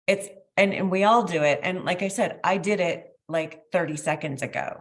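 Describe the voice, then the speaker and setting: A woman's voice: lowish voice, normal speed. A middle-aged woman giving feedback as a judge in a conversational, hedging way over a video call. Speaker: competition judge. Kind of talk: spoken feedback to students. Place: indoor room at home, on a video call. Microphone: video call microphone. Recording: dull video-call audio.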